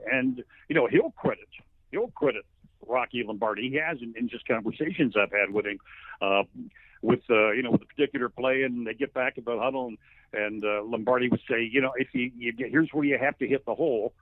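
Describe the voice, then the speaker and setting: A male play-by-play broadcaster as an interview guest, giving conversational football analysis in a folksy, halting, emphatic storytelling way. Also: medium pitch, no echo. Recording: thin narrow phone-like line.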